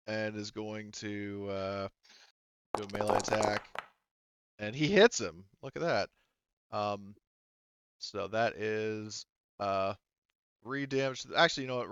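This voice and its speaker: Low, smooth-voiced man running a game. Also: fairly bright tone, dull audio. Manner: casual, profane, thinking aloud. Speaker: game master. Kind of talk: narrating a tabletop roleplaying game.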